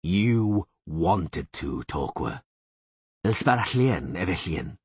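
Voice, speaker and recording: A man voicing a cold, resolute elf leader in an audiobook, speaking slowly and deliberately. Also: low-pitched, lively intonation, slightly rough, full character voice. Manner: slow, deliberate. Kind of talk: audiobook character voice.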